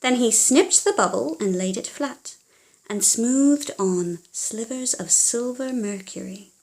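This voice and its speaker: Female children's storyteller, unhurried and expressive, dramatic delivery with hushed moments. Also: on the high side, rough recording. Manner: animated, dramatic, with hushed passages.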